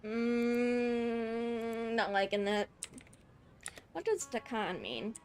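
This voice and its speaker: A woman streaming a game, making quiet, murmured asides as she plays. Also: fairly high pitch, expressive, drawn-out words. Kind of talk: quiet live gameplay commentary.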